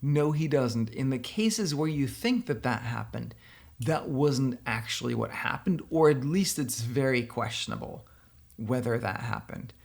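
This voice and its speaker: A man from Denmark with a Danish accent, a software company founder giving opinionated, casual commentary straight to the viewer. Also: mid-range voice, measured pace, creaky. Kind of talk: casual commentary responding to a blog post.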